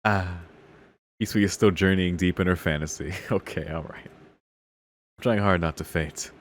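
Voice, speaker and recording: A man's voice: deep voice, unhurried, a little hiss or hum. A man reading a visual novel aloud in a casual, slangy, amused way, swearing and reacting off the cuff. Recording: music behind the voice.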